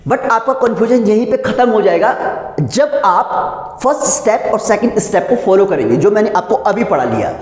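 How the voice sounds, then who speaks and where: A man's voice: sits high, a little mellow. A man with an Indian accent teaching a pronunciation lesson, didactically asking questions and answering them himself. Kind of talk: classroom lesson in spoken English. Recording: echoey room with long reverb.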